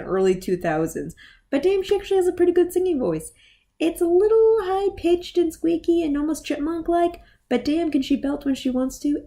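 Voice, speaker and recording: A woman's voice: sits high, mellow. A woman giving a casual, opinionated movie review in a blunt, exasperated manner, with sighs and groans.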